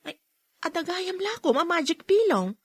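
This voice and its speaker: A woman's voice: very high-pitched, very animated, normal speed. A woman with an Ilocano accent playing a frank, teasing shopkeeper in a radio drama.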